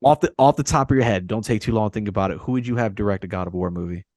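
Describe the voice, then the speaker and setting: A man's voice: lowish voice, racing, a little mellow, no room sound. A man chatting casually about movies as a podcast co-host, earnest and repeating phrases for emphasis.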